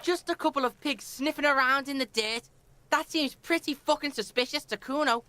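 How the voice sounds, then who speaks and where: A woman's voice: high-pitched, moderate pace, sharp tone, dry recording. A woman voicing a cocky, foul-mouthed street boy in a video game, sneering and swaggering with hostile defiance. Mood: defiant. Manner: swaggering, sneering, speaks of self in third person. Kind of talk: video game character acting.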